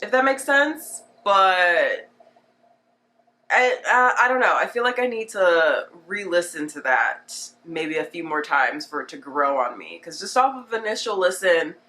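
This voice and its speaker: Low-pitched, slightly nasal chatty woman. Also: lively intonation, unhurried, sharp tone. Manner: chatty, rambling, filler-heavy with frequent "like". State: getting over a cold. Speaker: music reaction vlogger. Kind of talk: casual unscripted reaction commentary.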